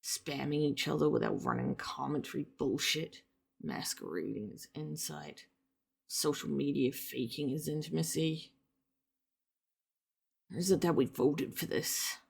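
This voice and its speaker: Woman doing a withdrawn hacker guy, low hushed breathy mutter, quick n bitter about society, tv drama monologue. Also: heavily acted. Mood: bitter, numb. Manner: flat, quick muttered delivery. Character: disillusioned, withdrawn young hacker man, bitterly anti-society.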